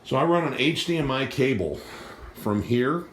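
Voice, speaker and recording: A man's voice: medium pitch, lively intonation, moderate pace, reverberant, a little hiss or hum. A man giving a casual, rambling tour of his home studio gear, talking with restarts and fillers, recorded on a handheld phone. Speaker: piano teacher and live streamer. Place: small home studio with piano and computer. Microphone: phone camera held while walking around.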